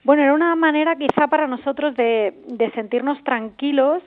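A woman with a fairly high voice, heard over a narrow, tinny phone line. She explains herself thoughtfully and at length, stopping often with fillers as she works through her ideas. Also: fairly even intonation, a little fast. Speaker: interview guest, traveling mother and author. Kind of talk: casual interview over phone.

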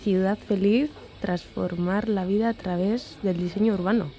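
A woman's voice: medium pitch, steady tone, normal speed, mellow, close to an everyday voice. A woman playing a curious, teasing university student in a radio serial.

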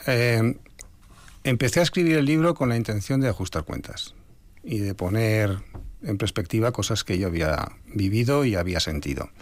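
An older Spanish man, an author being interviewed, talking in a reflective, measured way with some hesitations. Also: medium pitch, no room sound. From Spain. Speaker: author guest. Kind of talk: radio interview.

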